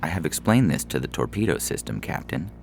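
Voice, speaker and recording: A man voicing a calm, officious starship computer with a formal, unflappable manner in a sci-fi comedy audio story. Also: rumbling low, a little fast, creaky, background noise, heavily acted.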